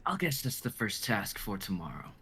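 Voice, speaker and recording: A man's voice: on the low side, sing-song, moderate pace, sharp tone, a little hiss or hum. A man voicing a blunt, fatalistic and practical young crash survivor in an amateur dramatic table reading.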